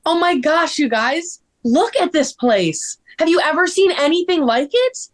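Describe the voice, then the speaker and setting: A woman's voice: sits high, sing-song, measured pace. A woman playing a bubbly, slightly vain young woman with a gushing, dramatic manner in a table read. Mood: giddy excitement.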